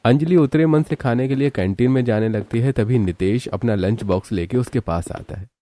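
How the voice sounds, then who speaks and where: A man reading scripted narration for an animated family story. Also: medium pitch, moderate pace.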